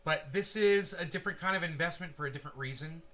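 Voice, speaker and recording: A man's voice: on the high side, fast, bright, forceful tone. A man hosting a casual livestream, rambling and swearing freely while making sound effects with his mouth. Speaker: channel host.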